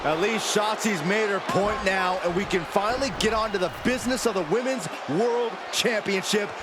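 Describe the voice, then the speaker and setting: An actor playing an earnest, excitable wrestling commentator in a video game, calling the action as sports commentary. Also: male voice, high voice, moderate pace, lightly acted. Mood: excited. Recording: loud roaring arena crowd and noise.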